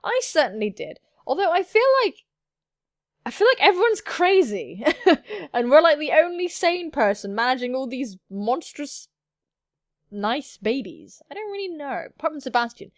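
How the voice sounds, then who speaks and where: A woman's voice: high voice, very animated, normal speed, no room sound, clean studio sound. A woman hosting a gaming video, commenting playfully in a cheeky, sweary, theatrical manner. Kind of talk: playful let's-play commentary with read-out game narration.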